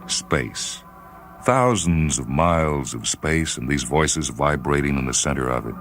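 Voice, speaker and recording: A man reading a short story as dramatic narration, grave and measured, with theatrical emphasis. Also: rumbling low, animated, a bit bright, faint music behind. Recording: faint noise and ambient sound bed. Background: faint ambient sound.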